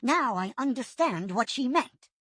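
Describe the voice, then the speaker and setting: A senior tomcat warrior speaks with calm authority, as a woman reader puts him on. The voice is breathy and swings widely in pitch. Close, dry recording. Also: a little slow, dry recording, exaggerated voice. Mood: firm. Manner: firm and authoritative.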